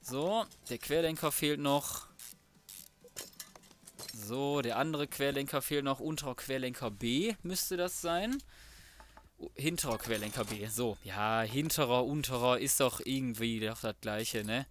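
A man with a mid-pitched voice commentates a game casually. He talks in loose, colloquial phrases, half to himself. There is noise and clicking behind him. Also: measured pace, fairly bright tone. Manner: colloquial, muttering to himself while working. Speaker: let's play host. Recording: clear background noise, mouse clicks.

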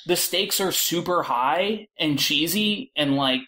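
A woman's voice: low voice, slow. A woman speaking informally and opinionatedly as a guest in a casual film discussion. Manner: informal, opinionated, animated asides. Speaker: podcast guest panelist.

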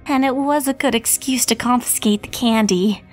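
A woman's voice: on the high side, normal speed, soft background music, voice pushed up, lightly acted. A woman voicing an earnest, studious female unicorn pony who gets exasperated, in a cartoon story reading. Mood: dry, sheepish.

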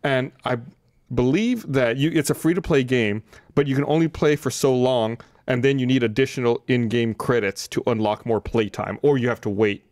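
A man's voice: mid-range voice, normal speed, a little mellow, no room sound. A man in his thirties hosting a casual panel discussion on a podcast, speaking close to a studio microphone. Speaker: podcast host. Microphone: close studio microphone on desk.

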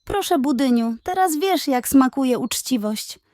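A woman's voice: high-pitched, animated, no room sound, studio quality, voice pushed up. Woman playing a young, earnest storybook piglet. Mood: kindly teasing. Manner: measured, earnest, gently reproachful. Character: young female pig, naive but earnest, quietly crafty. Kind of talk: children's storybook character voice.

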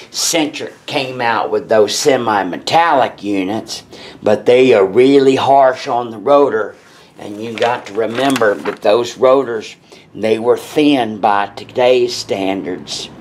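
A man who sells car parts, talking bluntly in a casual way to the camera from an indoor parts workshop. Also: on the low side. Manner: blunt, plain-spoken, deliberate. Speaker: parts seller explaining brakes. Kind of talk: casual shop talk to camera.

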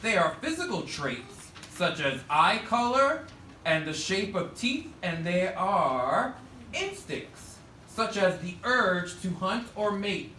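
A man's voice: high-pitched, very animated, slow pace, sharp tone. Male elementary teacher, projecting call-and-response instructions; roomy classroom sound, slightly noisy distant mic. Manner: projected, directive teacher delivery with short prompts. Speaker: third grade teacher. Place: school classroom, indoors. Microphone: distant camera at back of room. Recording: echoey classroom, distant mic, faint noise.

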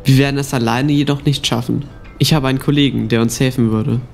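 A man's voice: mid-range voice, steady tone, quick pace, gravelly, faint music behind, lightly acted. A man playing a loyal, cautious young guard in an audio drama. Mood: earnest, willing. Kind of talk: amateur radio play acting.

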